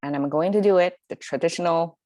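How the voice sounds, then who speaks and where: A woman's voice: on the low side, fairly quick, dark tone, no room sound. A woman whose first language is Chinese, hosting a casual online lesson on Chinese words in a chatty, conversational way. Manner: chatty and conversational, with fillers and small laughs. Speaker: language teacher and host. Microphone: video call setup.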